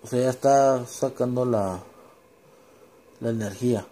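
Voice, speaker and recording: Mellow-voiced Mexican man, casual and halting. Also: mid-range voice. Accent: Mexican Spanish. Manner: informal, halting, searching for words, mixing in English. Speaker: home vlogger demonstrating gear. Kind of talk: casual unscripted demonstration.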